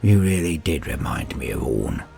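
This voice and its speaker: Man as a gravelly old warlord. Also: extremely low pitch, sing-song, moderate pace, dark tone, soft background music, full character voice. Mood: dryly amused. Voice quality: gravelly, husky. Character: old ruthless warlord commander, dryly amused. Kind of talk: character dialogue in sci-fi audio story.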